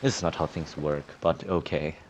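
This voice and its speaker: A man giving casual, rambling and halting commentary as he plays through a video game. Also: extremely low pitch, fairly even intonation, slight background noise. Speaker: gamer commenting on a playthrough. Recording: dull lo-fi mic, faint game music. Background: game music.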